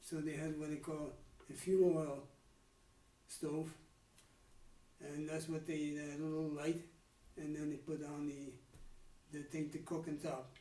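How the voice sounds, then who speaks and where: A man's voice: on the high side, level tone, mellow, roomy sound, recorded from a distance, a little hiss or hum, low-quality recording. A man in his nineties with a Dutch accent, recalling his childhood in a candid interview and often stopping to restart his sentences. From Netherlands. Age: 90s. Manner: halting, many restarts and trailing pauses. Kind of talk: candid life-story interview.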